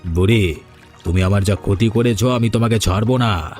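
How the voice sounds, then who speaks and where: Low, vengeful cartoon villain played by a man. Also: moderate pace, a little mellow, close to an everyday voice. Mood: angry, vengeful. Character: vengeful man threatening an old woman. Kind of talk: cartoon fairy-tale voice acting. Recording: background music under dialogue.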